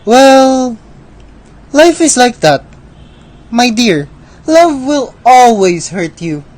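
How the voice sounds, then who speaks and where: A woman's voice: fairly high pitch, expressive, drawn-out words, darkish tone. A woman playing Death in a radio drama as a mocking, cynical and theatrically knowing figure. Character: Death personified, female, mocking, world-weary and cynical.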